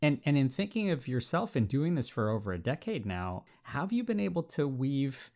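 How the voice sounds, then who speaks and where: A man's voice: medium pitch, very animated, normal speed, a little mellow. Winding, hesitant male podcast host. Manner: hesitant, repeats words and restarts, long winding questions. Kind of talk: interview conversation.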